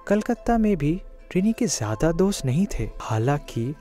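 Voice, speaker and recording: Mellow male storyteller, slightly high voice. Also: big pitch swings, moderate pace, soft background music. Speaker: narrator. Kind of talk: scripted children's story narration.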